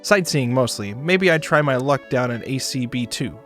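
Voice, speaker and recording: A man's voice: medium pitch, animated, normal speed, a bit bright, faint music behind, close to an everyday voice. A man voicing a wry, broke, laid-back space shuttle passenger in a visual novel, speaking casually. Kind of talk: visual novel character reading.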